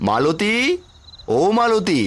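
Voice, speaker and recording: Plaintive, expressive poor village vendor acted by a man. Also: high voice, slow, noisy background, exaggerated voice. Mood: earnest. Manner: pleading, plaintive. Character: poor, despairing village street-food seller and father.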